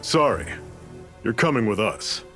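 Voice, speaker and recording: An actor playing a resolute resistance fighter in a video game, articulate and authoritative. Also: male voice, on the low side, very animated, unhurried, bright, forceful tone, faint music behind, heavily acted. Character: grave, resolute male resistance fighter leading his comrades. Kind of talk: dramatic video game voice acting.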